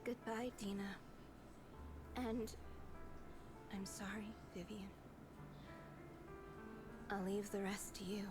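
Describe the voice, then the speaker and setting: An actress playing a gentle, guilt-ridden woman in a video game, solemn, measured and tender, ready to sacrifice herself. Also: mid-range voice, steady tone, lightly acted. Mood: tender farewell. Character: gentle, guilt-ridden, self-sacrificing older sister-like female caretaker. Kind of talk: dramatic video game voice acting. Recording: background music under the voice.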